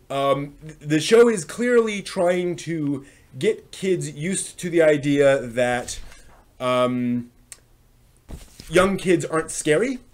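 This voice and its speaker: Higher-pitched man giving casual, halting commentary. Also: darkish tone. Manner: halting, with frequent pauses and rephrasing. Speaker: live stream host reviewing anime. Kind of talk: unscripted casual review commentary.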